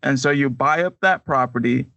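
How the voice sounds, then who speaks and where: Guy chatting as podcast co-host, average pitch, really dry audio, no room sound. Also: normal speed, creaky, pushed and bright, dry recording, muffled recording. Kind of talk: casual chat.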